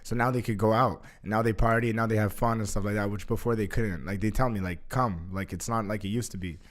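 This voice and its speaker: A young man telling a story in a casual chat, animated, full of "like" fillers and swearing. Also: lowish voice, fast talker. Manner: animated, heavy use of filler "like", swears freely. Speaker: guest.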